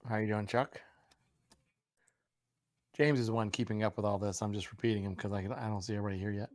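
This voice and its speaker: Raspy, low-voiced man chatting casually. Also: steady tone, fast, darkish tone, no echo, clean studio sound. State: hoarse from talking all day, clearing throat. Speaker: livestream co-host. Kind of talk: casual banter.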